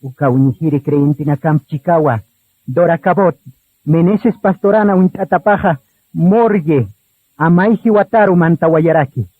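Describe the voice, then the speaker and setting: Husky man narrating, narrow phone-like sound. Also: a little high, a little slow. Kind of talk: solemn radio drama narration. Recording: narrow phone-like radio recording.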